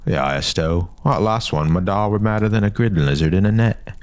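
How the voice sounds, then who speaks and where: A man voicing a restless, excitable boy in a fantasy audio story, with an informal, colloquial way of talking. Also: fairly low pitch, normal speed, no echo, voice pushed up, lightly acted. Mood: eager. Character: restless, excitable, folksy boy eager for adventure.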